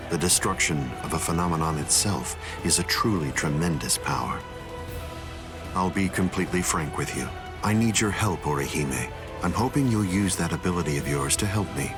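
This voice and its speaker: A commanding villain with a low voice. He speaks in measured, courteous phrases that persuade softly and carry quiet menace. Game cutscene music plays clearly behind him. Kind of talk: anime game cutscene dialogue. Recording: dialogue over clear background music.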